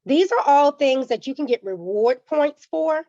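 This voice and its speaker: A woman, under the weather with a slightly raspy voice, giving a teacherly personal finance presentation to a church community and often checking in with "okay?". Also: high-pitched, animated, measured pace, warm tone, dry recording, highs cut off. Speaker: guest speaker on personal finance.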